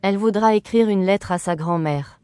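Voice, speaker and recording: A voice reading short phrases slowly and evenly for learners, carefully enunciated and repeated. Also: medium pitch, darkish tone, clear, resonant tone, no echo. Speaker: French phrase reader.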